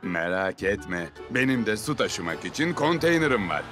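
Proud, animated cartoon cement truck. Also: male voice, on the low side, moderate pace, over music. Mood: eager, boastful. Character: proud, discontented male cement mixer truck craving praise. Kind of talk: dubbed children's cartoon acting.

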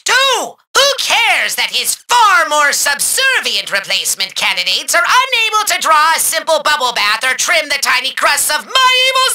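A man playing a pompous, short-tempered evil overlord in a video game, ranting in a shrill, grandiose, theatrical way. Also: creaky, pushed and bright, heavily acted. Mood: imperious. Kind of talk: exaggerated cartoon villain monologue.

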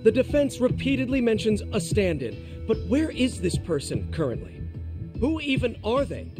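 A man's voice: extremely high pitch, big pitch swings, moderate pace, over music. An actor playing a skeptical, combative prosecutor in his thirties in a courtroom drama game, brusque and challenging.

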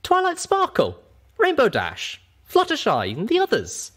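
A man voicing an eager, mischievous young female pony adventurer in an audiobook, with excitable exclaiming delivery. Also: very high-pitched, measured pace, pitched above the performer's own voice, heavily acted. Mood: earnest pleading.

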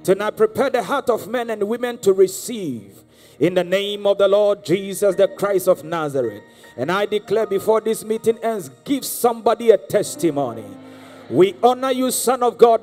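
A Ghanaian man in his thirties preaches from the stage of a large church with a handheld mic. His voice sits high and carries a long hall reverb. Faint music plays beneath as he urges the congregation to respond. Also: lively intonation, moderate pace, darkish tone. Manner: exhorting, repeats calls for the crowd to answer. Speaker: guest prophet preacher. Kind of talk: charismatic sermon.

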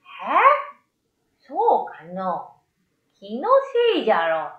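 A woman voicing a curious, mild old male yokai who is easily amazed, with drawn-out, old-fashioned elderly speech in a storybook reading. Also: medium pitch, wildly swooping pitch, echoey room, highs cut off. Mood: feigned innocence. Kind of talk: storybook radio drama reading.

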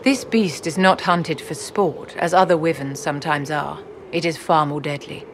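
An actress playing an elegant, secretive court enchantress in a fantasy game, with a haughty, polished, formal manner. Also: female voice, low-pitched, normal speed, slight background noise. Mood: formal, gracious. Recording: clearly audible background ambience.

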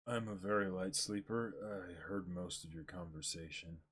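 A man's voice: deep voice, flat delivery, moderate pace, fairly bright tone, husky. A man voicing a lazy, laid-back navy admiral in a dramatic comic reading, with a forgetful, drawling manner. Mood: weary, casual.

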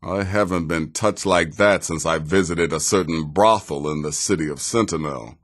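A very deep, sardonic assassin. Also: male voice. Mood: wry, dry. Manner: measured, dry, wry menace. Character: sardonic, seasoned assassin of a dark brotherhood. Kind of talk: video game character dialogue.

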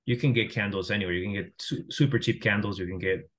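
A man's voice: lowish voice, fast, a bit bright. A man in his thirties from Venezuela, a company founder talking on a video call in a casual, reflective way with frequent restarts. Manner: reflective, many restarts and fillers. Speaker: founder and guest. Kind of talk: casual interview talk. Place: home room via video call. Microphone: video call webcam mic.

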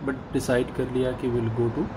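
A man narrating his travel vlog in a calm tour-guide manner, mixing in English phrases. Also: medium pitch, normal speed, heavy background noise. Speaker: travel vlogger. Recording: voice over loud music.

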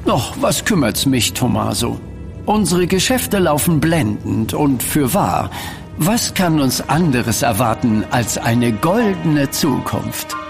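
An actor playing a greedy, impatient elder merchant banker in an audio drama, condescending and formal. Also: male voice, medium pitch, big pitch swings, slow, creaky, faint music behind, full character voice. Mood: dismissive, confident. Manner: condescending, formal archaic diction. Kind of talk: German audio drama acting.

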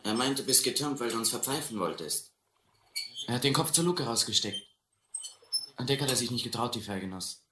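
An actor playing a cool, proud young boat thief, curt and street-tough, in a German radio play. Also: male voice, mid-range voice, lively intonation, fairly quick, pauses often, roomy sound, noisy background, natural voice. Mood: cool, dismissive.

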